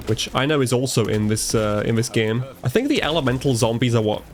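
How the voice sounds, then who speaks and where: A man's voice: medium pitch, animated, a little fast, soft background music. Chatty gamer man with a stuffy cold. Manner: chatty, reacts out loud to the game. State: congested from a cold. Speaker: gaming commentator. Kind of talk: live gameplay commentary.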